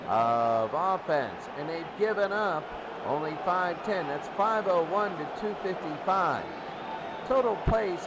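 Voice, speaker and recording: Male sports commentator, fairly high-pitched, unscripted stat rundown, very noisy recording. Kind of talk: unscripted statistics rundown before a game.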